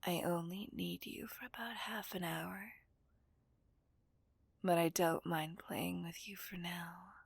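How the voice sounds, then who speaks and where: A woman's voice: fairly low pitch, steady tone, slow pace, gravelly, slightly rough, no room sound, heavily acted. A woman playing a dominant, taunting female villain in an ASMR roleplay, whispering breathily and possessively to a hostage. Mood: possessive, gloating.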